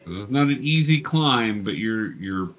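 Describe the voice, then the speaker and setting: A man's voice: lowish voice, animated, measured pace, fairly bright tone, slight background noise. Male game master, improvised narration, patient explainer who encourages the players.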